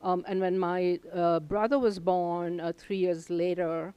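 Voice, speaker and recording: A woman's voice: fairly low pitch, fairly even intonation, measured pace, dark tone, smooth, dry recording, highs cut off. An older woman from India, a Hindi native speaker and professor, answering interview questions reflectively with many ums and uhs. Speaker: professor, guest.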